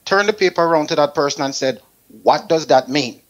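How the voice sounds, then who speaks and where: A man's voice: fairly high pitch, a little fast, muffled recording. Instructive man on a panel. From Canada resident. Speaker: talk show panelist. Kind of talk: informal step-by-step advice.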